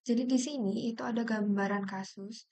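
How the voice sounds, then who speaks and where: A woman's voice: a little high, fairly even intonation, moderate pace, darkish tone. A young adult woman, explaining informally as a student in a class presentation. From Indonesia.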